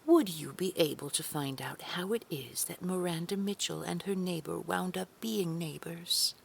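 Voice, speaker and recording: A woman playing a haughty, menacing boss in an audio drama, sugary and condescending, often slipping into a breathy near-whisper. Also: lowish voice, normal speed, a little hiss or hum.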